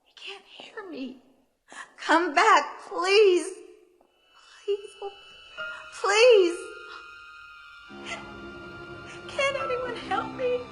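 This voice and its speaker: A high-pitched, anxious woman in a radio drama, lost and trapped in a store. She speaks slowly and haltingly, repeating herself and pleading, with music playing behind her. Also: fairly bright tone, exaggerated voice. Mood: desperate. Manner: breathless, pleading, halting and repetitive. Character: frightened woman shopper trapped in a closed store. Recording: background music under the voice.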